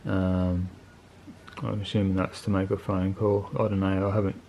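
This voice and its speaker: A man with a lowish voice talks through a piece of gear off the cuff. His delivery is very monotone and laid-back, with plain, colloquial phrasing. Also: normal speed, gravelly, noisy background. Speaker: motorcycle video channel host reviewing gear. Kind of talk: casual unscripted product review.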